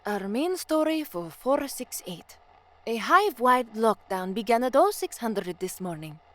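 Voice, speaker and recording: An actress playing a young newsreader in a science fiction audio drama, weary and anxious. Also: female voice, on the high side, very animated, measured pace, a bit bright. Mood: formal newsreading. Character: young slum-born newsreader, anxious, weary, hard-drinking narrator. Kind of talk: audio drama first-person narration and newsreading.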